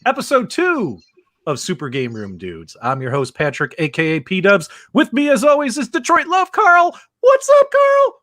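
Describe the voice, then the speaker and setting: An energetic man hosting a live podcast, his voice jumping up and down in pitch as he talks up the show. He sits a little higher than most men, and the sound is dry and close. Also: moderate pace, no room sound. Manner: energetic, upbeat, hypes the audience. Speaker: host.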